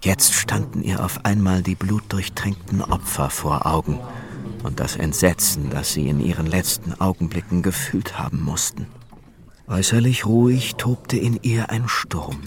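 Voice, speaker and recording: An actor playing a grave storyteller, reading scripted narration for a suspense radio play. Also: male voice, deep voice, normal speed, gravelly.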